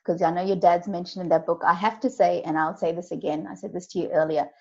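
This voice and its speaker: A woman's voice: lowish voice, fairly even intonation, quick pace. A woman hosting a podcast interview, introducing her guest with enthusiasm and lots of praise. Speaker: podcast host. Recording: dull lo-fi sound.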